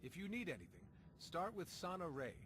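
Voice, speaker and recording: A man voicing a calm, sincere Jedi leader and mentor in a video game, speaking gently. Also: high voice, normal speed. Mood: gentle, sincere. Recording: noisy game audio capture.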